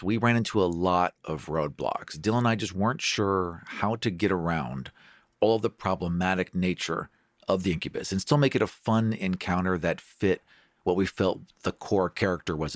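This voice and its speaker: A man's voice: sits low, lively intonation, normal speed, no room sound, clean studio sound. A man speaking as a podcast host and game master, delivering a scripted introduction. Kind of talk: scripted show introduction and promo.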